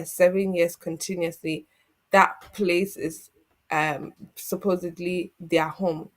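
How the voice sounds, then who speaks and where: A woman with a slightly low voice talks through legal advice in a steady, methodical way. She sounds like a solicitor guiding viewers through a process. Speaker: immigration solicitor guest. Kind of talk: explaining legal advice on a community TV show.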